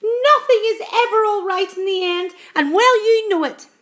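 A storybook earthworm acted by a woman, male, fatalistic and indignant. The voice is high and wailing, steeped in melodramatic doom, with a clearly roomy echo around it. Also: animated, normal speed.

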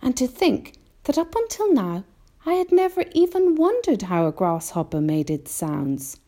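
A woman's voice: sits high, huge pitch swings, slow, dark tone, pitched above the performer's own voice, full character voice. A woman voicing a small, curious, polite boy in a storybook, earnest and full of wonder. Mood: awed, fascinated. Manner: earnest, wide-eyed.

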